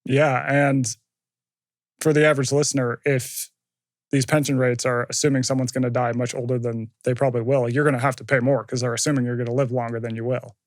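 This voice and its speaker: A man's voice: medium pitch, level tone, brisk, dry recording, clean studio sound. A man hosting an interview who asks brief, curious follow-up questions. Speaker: podcast host.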